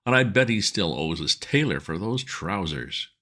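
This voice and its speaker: A man putting on a bearded soapbox orator, loud and hectoring, flinging out accusations in big rising swings of pitch. The voice stays deep at its root but pushes up and outward with every jab. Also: sing-song, moderate pace, full character voice. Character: bearded street-corner agitator ranting at a crowd.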